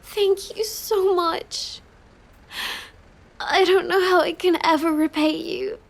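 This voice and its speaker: A woman playing a shy, sweet young villainess who feigns helplessness in a halting, apologetic way for an intimate roleplay audio. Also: very high voice, steady tone, creaky, heavily acted. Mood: hesitant, grateful.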